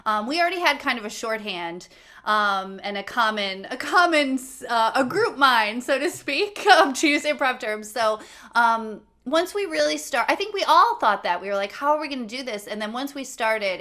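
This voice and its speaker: A woman's voice: fairly high pitch, sharp tone. A woman chatting casually in an interview as a co-creator of a comedy series.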